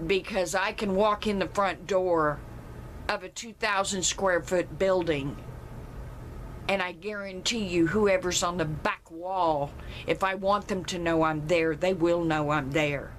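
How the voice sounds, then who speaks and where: A woman with a loud, carrying voice, telling a personal story emphatically. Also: measured pace, a little hiss or hum. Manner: emphatic, rises in pitch when retelling her protest.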